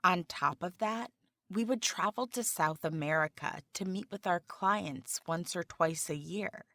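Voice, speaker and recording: Woman reading a job interview script real slow, lowish voice, dry close mic. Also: bright, forceful tone, dry recording. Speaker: job candidate in a practice interview. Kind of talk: scripted dialogue for English learners.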